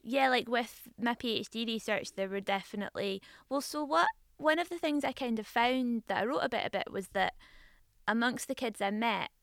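A woman's voice: a little high, expressive, moderate pace, gravelly, dry recording, clean studio sound. A young woman from Scotland who interviews her mother in a casual, chatty way, with many fillers. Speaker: interviewer, daughter.

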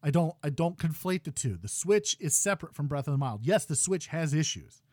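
Man, 30s, podcast host, hoarse, exasperated rant, slightly high voice. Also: sing-song, fairly quick, dry recording, studio quality. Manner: loud exasperated ranting, swings to quiet muttering. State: losing his voice. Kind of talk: ranting gaming podcast chat.

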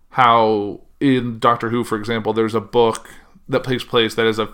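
A man hosting a podcast, talking casually and rambling, with a loud voice that carries and frequent self-corrections. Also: on the low side, clean tone. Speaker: podcast host. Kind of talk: casual solo chat.

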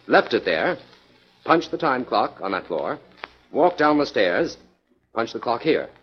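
An actor playing a confident, methodical amateur detective in a 1940s radio drama, explaining with dry irony. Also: male voice, mid-range voice, sing-song, a little slow, husky. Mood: confident, insistent. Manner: assured, explanatory, dryly ironic. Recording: dull, lo-fi 1940s radio recording.

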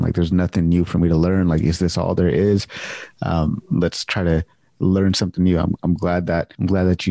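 A man's voice: low voice, warm tone, no room sound. A man hosting a question and answer session in a casual, encouraging, informal way, often repeating his phrases. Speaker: host of a Q&A office hour.

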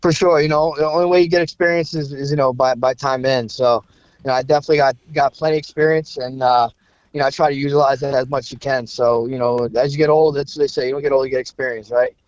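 A man in his thirties, a guest fighter chatting casually, halting and repeating words with many fillers. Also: medium pitch, steady tone, smooth, no room sound, highs cut off. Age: 30s. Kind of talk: casual podcast chat.

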